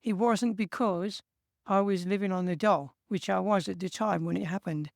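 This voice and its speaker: A man from England with an English accent, co-hosting a casual chat and giving steady, earnest encouragement. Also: high-pitched, normal speed, gravelly, dry recording, clean studio sound. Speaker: co-host.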